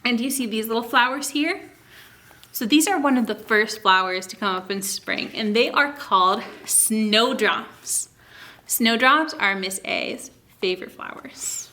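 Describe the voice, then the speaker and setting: A woman with a high, very bright voice leads a children's story time at an unhurried pace. She reads aloud with drawn-out emphasis and turns to young listeners with simple questions and explanations. Some background noise runs under her voice. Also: animated. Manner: teacherly, addresses children directly with many questions. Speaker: children's story time host at a public library.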